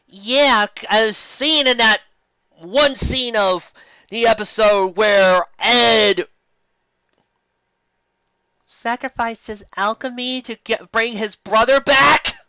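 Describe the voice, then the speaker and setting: High-voiced man, theatrical and sarcastic. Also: sharp tone, smooth, no room sound, highs cut off. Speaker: commentator reading and mocking fan fiction. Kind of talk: dramatic reading with sarcastic running commentary.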